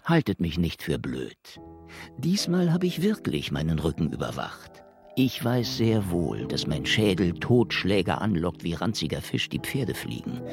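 A world-weary hard-boiled detective with a deep voice and animated, swooping delivery. He is cocky, sarcastic and theatrical, in radio drama style with music clearly behind him. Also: exaggerated voice. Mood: rueful narrating. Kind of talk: noir radio drama acting and narration.

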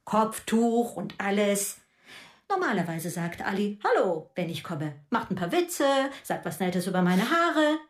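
A woman's voice: medium pitch, big pitch swings, a little slow. An actress playing an angry, proud middle-aged mother who rants with sarcasm in a radio drama. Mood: bitter.

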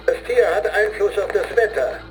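A man's voice: high-pitched, normal speed, close to an everyday voice, poor audio. Actor as zealous, solemn man reciting doctrine. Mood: solemn, ominous. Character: man reciting a zealous, grim training manual on a demonic fox. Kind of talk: solemn recitation in a radio play. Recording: studio radio play with music underneath. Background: music.

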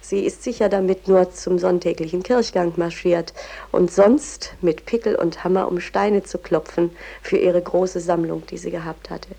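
A cultured museum guide in a radio drama. Her low female voice is mellow and creaky. She explains in a measured, reverent way, devoted to her subject. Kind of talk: explanatory guided tour with verse recitation.

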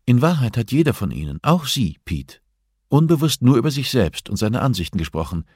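A man voicing a composed, assertive female prison therapist in an audiobook, speaking in a measured, instructive way. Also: medium pitch, very animated, darkish tone, breathy edge, dry recording, studio quality, voice pushed up. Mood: firm, revealing. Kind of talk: audiobook character dialogue.

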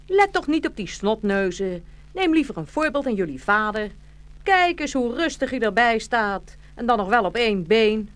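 A woman playing a motherly stork in a children's fairy-tale radio play, brisk and animated, scolding and coaxing by turns. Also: on the high side. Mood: admonishing.

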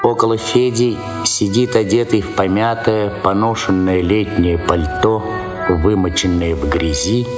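Low-voiced male narrator over music. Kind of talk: scripted literary narration for radio drama. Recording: slightly dull sound over clear music.

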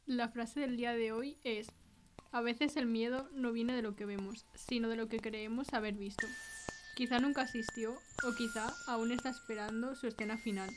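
Spanish woman playing a mystery podcast host, composed, kinda high voice, doing an interview. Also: steady tone, moderate pace, noisy background, natural voice. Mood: measured, mysterious. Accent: Spain.

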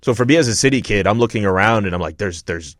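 A man's voice: fairly low pitch, animated, quick pace, no room sound, clean studio sound. A young man from Montreal hosting a podcast, chatting loosely with many fillers and restarts. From Montreal, Canada. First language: not English; French is his second language. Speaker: podcast host. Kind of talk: casual interview chat.

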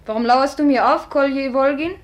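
A woman's voice: high-pitched, normal speed, lightly acted. A woman playing a proud, suspicious young society lady in a German radio drama, with a theatrical, imperious manner. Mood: startled, imperious. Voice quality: breathy.

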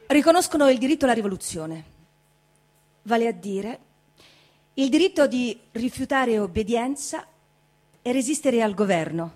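A woman reading an essay aloud as a stage reader, in a formal, measured oratorical way. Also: on the high side, darkish tone.

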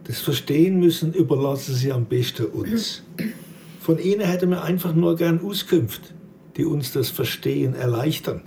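An actor playing a brusque, condescending provincial police inspector with a creaky voice in a naturalistic radio drama. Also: male voice, on the high side, moderate pace, mellow, a little hiss or hum. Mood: dry, condescending. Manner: dry, condescending, officious. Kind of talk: naturalistic German-language radio drama acting.

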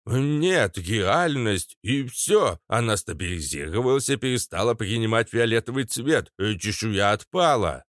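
Мужчина-чтец озвучивает старого лекаря, ворчливого всезнайку со скрипучим голосом, который всё растолковывает. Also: male voice, fairly low pitch, expressive, unhurried, few pauses, a bit bright, no echo, studio quality, voice pushed up. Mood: explaining. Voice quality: creaky, scratchy. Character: old male healer, grumbling know-it-all explainer.

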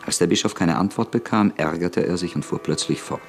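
A man narrating an old radio drama in a measured, sober tone. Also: low-pitched, soft background music.

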